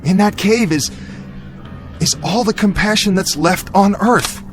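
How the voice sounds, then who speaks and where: An actor playing an earnest male survivor who pleads with a crowd and speaks gravely in a radio drama. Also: high voice, unhurried. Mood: pleading. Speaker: keeper of the cave's secret. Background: music score.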